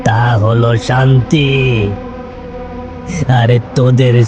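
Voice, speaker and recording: A man voicing the vengeful ghost of a wronged dead man in a cartoon story, slow, drawn-out and accusing. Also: medium pitch, level tone, music in the background. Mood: cold, menacing.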